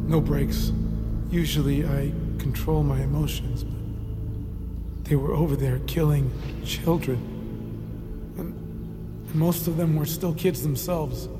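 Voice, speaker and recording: An actor playing a troubled army therapist in an audio drama, haunted and burdened, with a halting delivery. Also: male voice, a little high, natural voice. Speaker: military psychologist. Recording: long reverb, music underneath.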